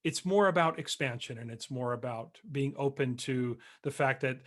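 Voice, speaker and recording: A man hosting a conversational podcast interview, measured and reflective, often pausing with "uh" and "you know". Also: medium pitch, fairly bright tone. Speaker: podcast host.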